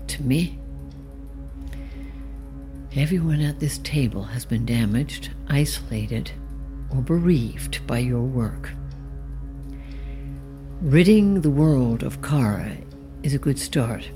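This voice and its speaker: Menacing cult leader with swooping delivery. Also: male voice, mid-range voice, lively intonation, warm tone, faint music behind. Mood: grave, accusing. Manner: deliberate, rhetorical, commanding. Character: male radical movement leader, calm and menacing. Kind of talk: audio drama acting.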